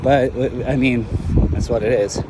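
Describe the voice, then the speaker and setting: A man's voice: mid-range voice, fairly even intonation, a little slow, background noise, close to an everyday voice. A man voicing a brash, profane young hero in an amateur audio drama who talks bluntly and defiantly. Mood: earnest, pleading.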